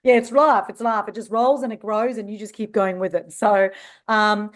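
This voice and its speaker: A woman in her forties from South Africa with a South African Australian accent, telling her story as a guest in an interview, animated and quick to laugh. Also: mid-range voice. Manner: animated, laughs easily, self-described hyperactive. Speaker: guest entrepreneur.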